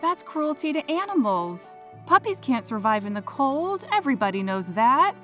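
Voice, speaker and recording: Storybook mother voiced by a woman: firm yet caring, somewhat high pitch, soft music bed. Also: big pitch swings, normal speed. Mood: indignant, firm. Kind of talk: children's comic read-aloud.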